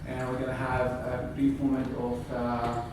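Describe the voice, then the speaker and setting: A man's voice: medium pitch, completely flat delivery, measured pace. A man in his thirties from Syria, a native Arabic speaker with a Syrian Arabic accent, giving a hesitant graduation speech at a podium in a wood-paneled hall, picked up by a far camera. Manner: hesitant, searching for words, many restarts. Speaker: graduating surgical resident. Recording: echoey hall from far, noisy.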